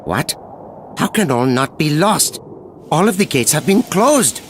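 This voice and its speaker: A bitter henchman from a video game, agitated and impatient. His fairly high voice swings with animation, with faint noise behind. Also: big pitch swings, unhurried. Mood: exasperated. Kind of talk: video game cutscene acting.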